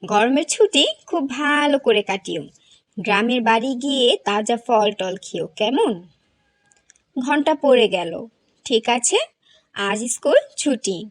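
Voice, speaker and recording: A woman playing a kindly cartoon teacher with a high voice, announcing to her class in a motherly way. The sound is dry and close. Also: lively intonation, unhurried, long pauses, no room sound. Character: kindly schoolteacher announcing the holidays. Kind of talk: cartoon voice acting.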